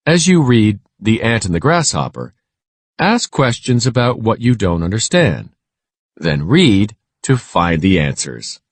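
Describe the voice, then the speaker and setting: A man reading a scripted children's lesson aloud in a measured, instructional way. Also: lowish voice, sing-song, dry recording.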